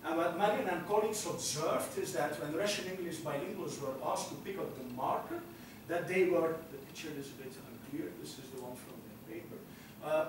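A middle-aged man with a Belgian Dutch accent, a native Dutch speaker, giving an academic lecture and filling his pauses with frequent "uh"s. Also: on the high side, background noise. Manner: frequent "uh" fillers, walks about while explaining. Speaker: university lecturer. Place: large lecture hall. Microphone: room mic far from speaker. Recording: echoey lecture hall from far off.

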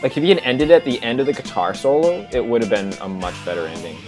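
A man's voice: mid-range voice, sing-song, fast, a little mellow. A young man co-hosting a casual video-call chat, speaking loosely with lots of filler "like". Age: young adult. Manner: loose speech heavy with "like". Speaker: co-host of a track review stream. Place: home room on a group video call. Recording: music playing behind the voice. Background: plucked guitar music.

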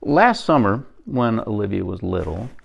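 Man, forties, storybook read-aloud, lively swooping intonation, creaky voice, deliberate pauses. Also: lowish voice, highs cut off. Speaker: actor reading a picture book.

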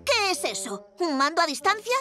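A woman's voice: very high voice, big pitch swings, normal speed. A woman from playing a confident, slightly bossy young boy superhero leader in a cartoon dub. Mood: assertive. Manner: assertive. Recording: cartoon music behind.

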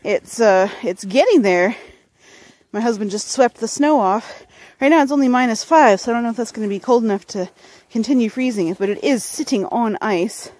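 A woman's voice: fairly high pitch, moderate pace, darkish tone, no echo. A woman narrating casually to a handheld camera outdoors in a snowy backyard. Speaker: vlogger filming her family. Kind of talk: casual chat.

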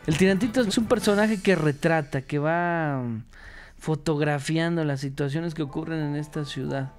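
A man's voice: on the high side, moderate pace, faint music behind. A man with a Mexican accent talking casually and reflectively in an interview. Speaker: radio performer.

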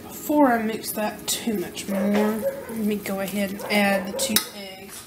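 A woman's voice: medium pitch, level tone, unhurried, very noisy. A woman, chatting casually as she demonstrates a recipe in her home kitchen. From American South. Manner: chatty and self-deprecating, talking while working. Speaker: home cooking vlogger. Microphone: camera mic from across the kitchen. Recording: echoey kitchen, camera mic at a distance. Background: clinking pots, pans and utensils.

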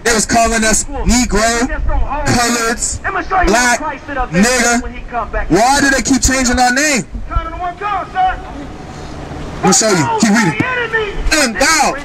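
A man, preaching confrontationally on the street, loudly questioning passers-by through a microphone. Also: extremely high pitch, a little slow, long pauses. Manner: loud, insistent, questioning bystanders. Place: under a canopy on a city street. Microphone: handheld microphone through a loudspeaker. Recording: outdoor street PA with crowd noise.

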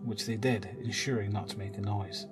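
A man's voice: fairly low pitch, fairly even intonation, brisk, music in the background. A man narrating a scripted horror audio drama.

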